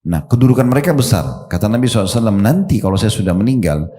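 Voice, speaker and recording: A man's voice: lowish voice, very animated, very fast, warm tone. A middle-aged male preacher giving a sermon, talking straight to his listeners and explaining with everyday examples and questions. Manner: addresses his listeners directly.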